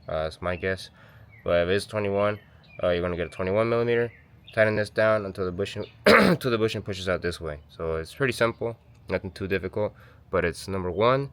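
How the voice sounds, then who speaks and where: A man's voice: deep voice, a bit bright, smooth. A man hosting his own car-repair channel in a home garage. He is sick and losing his voice, and he talks in a casual, rambling way, correcting himself as he walks through a how-to. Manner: rambling, self-correcting, slangy. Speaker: DIY car channel host.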